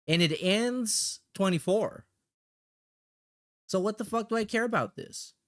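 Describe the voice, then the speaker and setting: A livestream host chatting casually and reacting in a blunt, heavily profane way. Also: mid-range voice.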